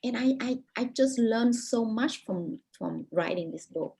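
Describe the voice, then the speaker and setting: Vietnamese-accented woman author, soft husky voice. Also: fairly high pitch, lively intonation. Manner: thoughtful, many restarts and pauses. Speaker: author, guest. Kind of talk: interview answer over video call. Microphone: video call.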